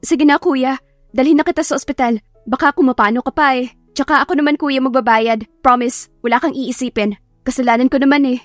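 Casting: woman to play earnest, exclamatory young female lead in audiobook romance, high voice, kind-hearted. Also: steady tone, fairly quick, no room sound, faint music behind, natural voice. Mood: worried.

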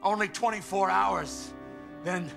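An actor playing a volatile, desperate movie star in an animated series, with rough, snappish tough-guy talk. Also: high voice, slow, exaggerated voice. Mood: bitter, weary. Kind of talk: dramatic animated series acting. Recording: loud music under the dialogue.

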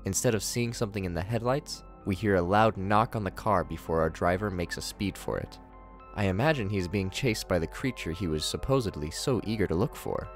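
A young man reading his scripted commentary on a horror video series, in a measured way. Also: deep voice, faint music behind. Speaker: video reviewer.

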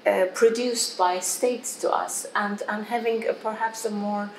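A woman's voice: mid-range voice, fairly even intonation, measured pace. A middle-aged woman with a Turkish accent, moderating a panel as a curator and searching for her words. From Turkey. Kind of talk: reflective panel discussion. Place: gallery room, indoors. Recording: echoey room, faint background noise.

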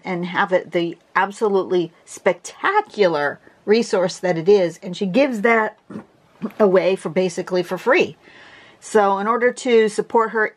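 A woman with a congested voice teaching a drawing pattern in a casual, chatty way, often restarting and clearing her throat. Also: mid-range voice. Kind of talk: casual tutorial narration. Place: home desk, camera over the drawing surface.

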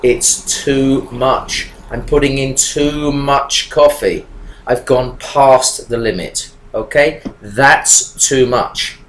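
A man's voice: medium pitch, takes it slowly. A man in his fifties teaching English to learners, explaining grammar in a small room with a webcam, talking emphatically. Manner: animated, emphatic, gestures while talking. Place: small room indoors with whiteboard. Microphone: webcam at desk. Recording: echoey room, faint background noise.

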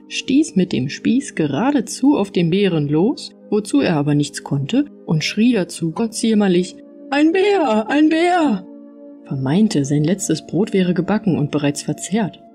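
Female audiobook narrator for fairy tales, animated delivery, warm tone. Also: on the low side, a little slow, hardly any pauses, soft background music. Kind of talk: expressive fairy-tale storytelling.

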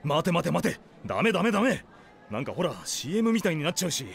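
A man acting a sensible high school team captain in an anime radio drama, dryly retorting and scolding. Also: sing-song, fast, vocal fry. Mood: exasperated. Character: sensible, dry teenage male team captain.

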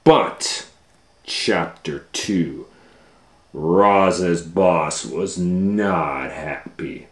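A man with a very deep voice reads a picture book to children. He goes extremely slowly, stretching key words for emphasis and adding small asides. The room gives his voice a clear echo. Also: fairly bright tone. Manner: drawn-out emphatic phrasing with asides. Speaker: storytime host and reader. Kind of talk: animated children's storybook read-aloud. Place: indoor room, reading a book on a table. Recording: roomy, echoey indoor sound.

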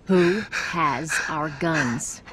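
A woman's voice: low voice, drawn-out words, a bit bright, slight background noise, full character voice. An actress playing a tough American smuggler in her thirties in a video game, blunt, dry and menacing. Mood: cold menace. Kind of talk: dramatic video game acting.

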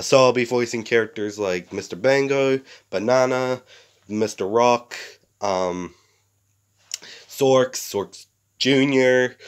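A young man, sick and a bit rough-voiced, rambling casually to a close camera in a small bedroom, full of fillers and restarts. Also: on the low side. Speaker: series creator.

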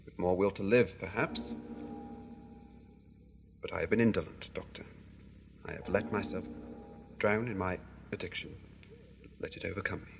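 An actor playing a scheming villain in an audio drama. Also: male voice, low-pitched, moderate pace, gravelly, long pauses, music in the background. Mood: weary, dying despair. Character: scheming villain, faking a weak, dying addict's despair.

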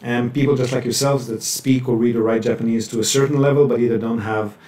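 A man with a mid-pitched voice fields questions as a webinar presenter. He explains things in a measured way, pausing as he goes. The sound is echoey and slightly distant from the microphone. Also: steady tone, a little mellow. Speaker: webinar presenter, property buyer's agent. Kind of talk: answering audience questions in a webinar. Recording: roomy echo, distant microphone.

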